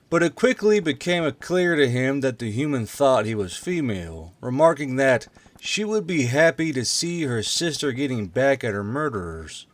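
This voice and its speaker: A man's voice: medium pitch, no echo. A man reading a fantasy war story aloud as scripted narration. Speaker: narrator, audiobook reader.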